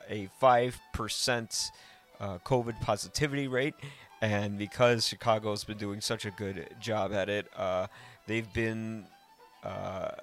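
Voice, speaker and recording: A man hosting a podcast in a casual, rambling way, often halting and correcting himself. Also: fairly low pitch, a bit bright. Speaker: podcast host.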